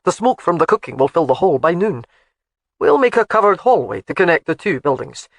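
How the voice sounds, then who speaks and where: A woman's voice: on the low side, big pitch swings, brisk, no echo, studio quality. A woman voicing a commanding, protective laird in an audiobook who gives firm, clipped orders.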